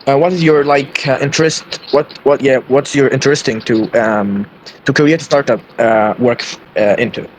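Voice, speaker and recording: A man's voice: medium pitch, fairly even intonation, moderate pace, a little hiss or hum, rough recording. Egyptian man searching for English words. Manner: hesitant, searching for words with many ums. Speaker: conversation partner. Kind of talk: casual English practice chat.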